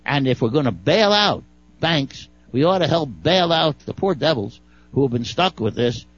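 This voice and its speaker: A man's voice: a little high, sing-song, moderate pace, no room sound, highs cut off, faint music behind. A man with a Brooklyn accent giving folksy, wry legal commentary on a talk radio show, often stumbling and repeating words.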